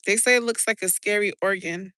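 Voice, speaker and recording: A woman with a New York accent, chatting casually and excitably on a live stream from a city rooftop, talking into her phone. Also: medium pitch, a little fast, no room sound. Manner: chatty, swearing, rambling and excitable. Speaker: livestreamer. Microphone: handheld phone.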